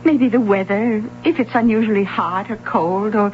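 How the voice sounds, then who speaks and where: An American actress playing a polite, guarded librarian in an old-time radio drama, speaking with careful hesitation. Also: female voice, mid-range voice, normal speed, natural voice. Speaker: actor. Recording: dull, noisy old radio recording with hum.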